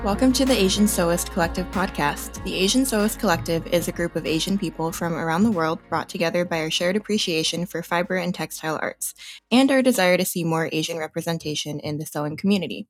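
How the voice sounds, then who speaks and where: An American woman co-hosting a podcast, chatting casually, often laughing and pausing to think. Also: on the low side, breathy edge, faint music behind, low-quality recording. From United States. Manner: conversational, laughs often, halting when unsure. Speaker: podcast co-host. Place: home, recording remotely.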